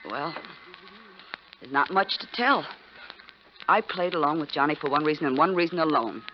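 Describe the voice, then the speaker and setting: Breathy, scheming 1940s femme fatale. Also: female voice, low-pitched, animated, moderate pace. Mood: pleading, seductive. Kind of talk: 1940s radio melodrama acting. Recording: muffled, very noisy 1940s radio recording.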